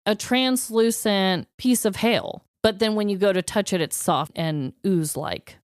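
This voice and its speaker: A woman's voice: medium pitch, measured pace, dry recording, clean studio sound. A woman co-hosting a podcast, telling stories in a casual, conversational way with an informal, candid manner. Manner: informal, candid, occasionally swearing. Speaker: podcast co-host.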